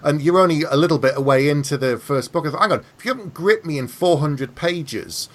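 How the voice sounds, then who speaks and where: A man's voice: on the high side, a little fast. A man chatting casually on a book podcast, opinionated and conversational, acting out little imagined exchanges to make his points.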